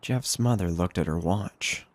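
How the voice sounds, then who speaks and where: A man's voice: deep voice, unhurried, a little mellow, no room sound. A man narrating a scripted horror story.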